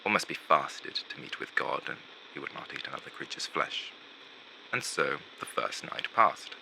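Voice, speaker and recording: Deep, pompous undead storyteller acted by a man. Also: lively intonation, creaky, slight background noise, full character voice, rough recording. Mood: hushed, grave. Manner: dramatic, pompous, drawn-out delivery with hushed asides. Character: theatrical undead male storyteller, vain, grandly gloomy showman. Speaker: narrator and theatre host. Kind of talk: theatrical storytelling for an animated short film.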